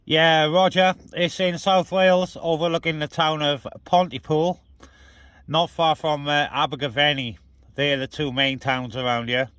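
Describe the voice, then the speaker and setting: A man's voice: on the high side, flat delivery, a little slow, a bit bright, clean tone. A man chatting casually as a CB radio operator and vlogger, speaking outdoors on a hilltop. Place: outdoors on a hilltop, light wind.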